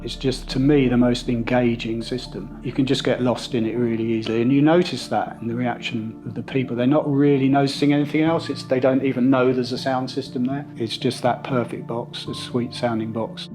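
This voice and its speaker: A man's voice: mid-range voice, flat delivery, a little fast, few pauses, darkish tone, soft background music. A middle-aged man speaking as a sound installer in a reflective interview, conversational and enthusiastic about his work.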